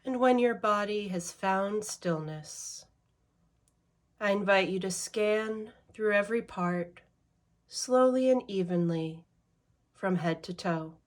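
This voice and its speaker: A woman leading a guided meditation in a measured way, leaving long pauses between short phrases. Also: medium pitch.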